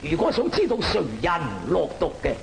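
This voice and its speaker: An actor playing a stern imperial magistrate in an old opera film, declaiming half in chant with drawn-out syllables. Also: male voice, high-pitched, wildly swooping pitch, vocal fry, background noise, exaggerated voice. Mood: questioning. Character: stern, deliberating imperial magistrate presiding in court. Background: music.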